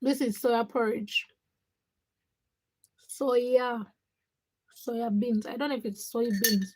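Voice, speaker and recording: High-voiced Ugandan woman livestreaming. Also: steady tone, slow pace, darkish tone, slightly rough, rough recording. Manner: short, fragmented phrases with repetition. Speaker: livestream host. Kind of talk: casual livestream chat, greeting viewers.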